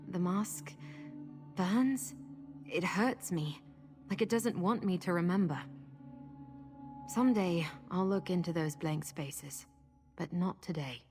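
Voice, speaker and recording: An actress playing a guarded, kind-hearted wanderer in a fantasy video game, with a wry, understated delivery. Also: female voice, on the low side, a little mellow. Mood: pained, guarded.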